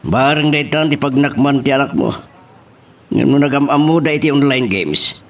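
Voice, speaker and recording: A man's voice: medium pitch, lively intonation, normal speed, natural voice. A man acting a stern, suspicious middle-aged father in an Ilocano audio drama. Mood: grave, concerned. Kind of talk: radio-style drama acting. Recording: music under the voice.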